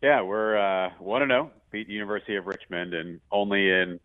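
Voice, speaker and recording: A man's voice: lowish voice, fairly even intonation, brisk, no room sound. A man, a play-by-play announcer, chatting casually as a phone guest on sports radio. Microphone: telephone. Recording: narrowband phone line.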